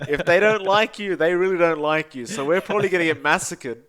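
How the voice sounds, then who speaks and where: A man's voice: high voice, a little fast, a crowd in the background. A young Australian man co-hosting a gaming podcast, ranting bluntly with profanity and often calling his co-host by name. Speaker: podcast co-host and esports caster. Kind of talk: casual podcast chat.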